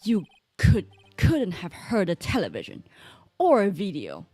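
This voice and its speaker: Woman acting as forceful game lawyer, declamatory, accusing, animated intonation, dry sound. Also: mid-range voice, unhurried, breathy edge, no room sound, faint music behind, heavily acted. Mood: accusing, insistent. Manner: emphatic, declamatory. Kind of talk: dramatic video game dialogue read aloud.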